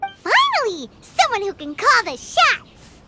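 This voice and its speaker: A tiny, childlike fairy girl in a cartoonish game scene. Her voice is extremely high and squeaky, with big swoops and drawn-out, unhurried words. She is excitable and indignant, full of exclamations. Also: a little hiss or hum, heavily acted. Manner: refers to herself by name, exclamatory. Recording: loud music behind the voice.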